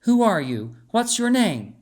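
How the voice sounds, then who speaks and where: A man's voice: sits high, sing-song, measured pace, a little mellow, pitched above the performer's own voice. A man voicing a determined, wary woman who is a royal chamber nurse in a storybook, speaking firmly and insistently. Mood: demanding. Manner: firm, insistent. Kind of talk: storybook character dialogue.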